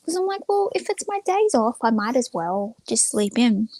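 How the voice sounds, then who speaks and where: A woman's voice: a little high, very animated, moderate pace, mellow, dry recording. A young woman chatting casually to viewers on a livestream in a rambling, informal, self-effacing way. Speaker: live streamer.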